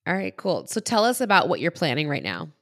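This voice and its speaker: A woman's voice: low voice, wildly swooping pitch, fairly quick, a bit bright. A woman hosting a podcast in a casual, chatty interview style, with an upbeat, informal and encouraging manner. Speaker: podcast host and wedding planner.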